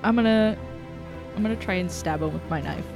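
A woman's voice: lowish voice, brisk. A woman playing a tabletop game, talking in casual, slangy language. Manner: informal, slangy. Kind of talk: casual play-along chat. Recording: music playing behind the voice.

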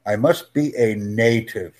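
Slow, emphatic male tutor. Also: on the low side, darkish tone. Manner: speaks slowly and clearly, friendly, emphatic repetition. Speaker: English tutor. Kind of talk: slow, clear teaching talk.

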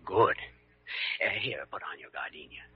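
An actor playing an earnest, persuasive young American hospital doctor with a wry, colloquial way of talking in a classic radio drama. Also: male voice, rumbling low, expressive, normal speed, husky, full character voice. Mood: slyly coaxing. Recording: dull old radio recording.

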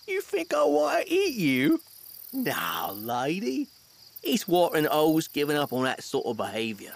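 A man's voice: fairly high pitch, vocal fry, heavily acted. A man playing a laid-back, cowardly blue selkie in a fantasy comedy audio drama, slangy and hesitant. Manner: slangy, hesitant, says bruv.

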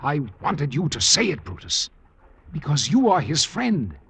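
An actor in a classic radio drama playing a scheming, persuasive Roman noble, insinuating and deliberate in his delivery. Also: a little high, wildly swooping pitch, creaky, fairly bright tone, husky, exaggerated voice.

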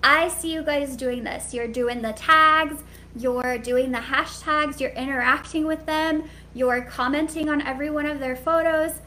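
A woman's voice: sits high, fairly even intonation, a little slow, pushed and bright, slight background noise. A woman with a sweet voice giving a live coaching talk to hairstylists, candid and chatty with lots of "like" fillers.

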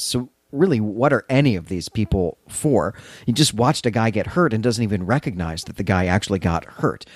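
A man's voice: medium pitch, darkish tone, no room sound. A man co-hosting a podcast, recapping and commenting in a conversational, explanatory way with rhetorical check-ins. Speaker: podcast co-host.

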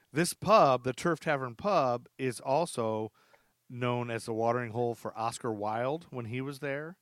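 A man's voice: mid-range voice, dry recording. A man hosting a podcast, telling stories casually and comically, chatty and amused, repeating facts for emphasis. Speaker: podcast host.

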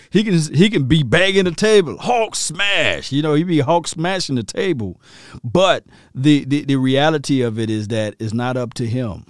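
A man from Mississippi with a Southern accent, hosting a casual sports talk show and reacting with lively, laughing asides to his listeners. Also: fairly high pitch, unhurried, dry recording, studio quality. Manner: animated, laughing, talks straight to listeners. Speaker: podcast host.

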